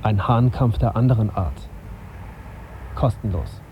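An actor playing a young radio journalist, wry and understated, in a naturalistic radio drama. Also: male voice, on the low side, a little slow, dark tone, a little hiss or hum, natural voice, low-quality recording. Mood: detached, observant. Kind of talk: literary first-person narration and naturalistic dialogue.

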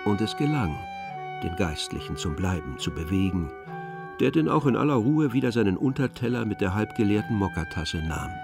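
Low-voiced male literary narrator. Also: very animated, normal speed, vocal fry, music in the background. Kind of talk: scripted literary narration for an audio drama.

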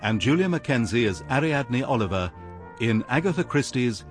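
A man with an English accent reading scripted radio drama credits in a formal, measured way. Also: medium pitch, lively intonation, faint music behind. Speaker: continuity announcer.

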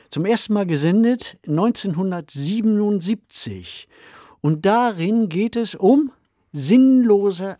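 A man's voice: high voice, dark tone, no echo, clean studio sound. A man co-hosting a podcast, presenting in a scripted style. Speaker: podcast co-host.

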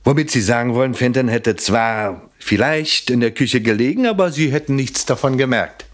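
A man acting as a shrewd police inspector in a radio crime play. His mid-pitched voice moves through wide, lively swings of intonation. He questions formally and deliberately, pressing with persistence. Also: close to an everyday voice. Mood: skeptical, pressing. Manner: probing, formal, pointedly deliberate.

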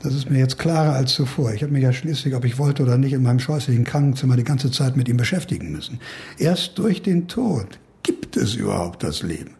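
Creaky, cantankerous older professor, played by a man. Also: medium pitch, a little mellow, lightly acted. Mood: brooding, philosophical. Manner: rambling, emphatic monologuing with musing pauses. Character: ailing older professor, brooding, cantankerous, philosophizing. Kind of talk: radio drama dialogue.

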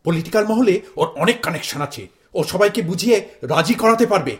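A man playing a pompous, high-pitched Bengali husband. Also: expressive, quick pace. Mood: businesslike. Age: middle-aged. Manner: pompous, declamatory, hectoring. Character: self-important, indignant Bengali husband and father, zealous would-be protester. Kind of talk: stage-style radio play acting.

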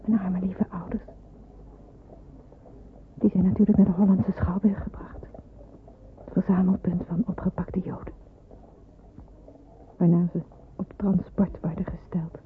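A woman's voice: lowish voice, level tone, unhurried, long pauses, natural voice. Thin, noisy recording of a woman playing an anxious young woman. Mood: hushed, anxious. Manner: hesitant, hushed, often near whispering. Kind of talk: radio drama acting with hushed inner monologue. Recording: thin phone-like band, noisy old radio play.

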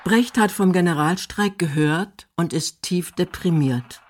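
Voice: medium pitch, a little slow, slight background noise. A narrator reading scripted commentary for a radio documentary.

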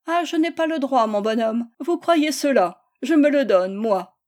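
Woman playing a bold, high-voiced teasing baroness. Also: expressive, moderate pace, a little mellow, natural voice. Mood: mocking. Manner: mocking, assertive.

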